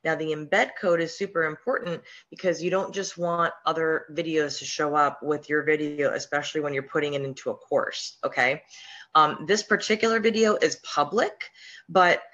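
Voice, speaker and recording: Hoarse woman chatting through a screen-share. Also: deep voice, moderate pace, a bit bright, dull audio. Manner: chatty, with frequent ums and restarts. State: hoarse, slight sore throat. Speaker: podcast host and online business coach. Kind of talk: casual live-stream screen-share walkthrough.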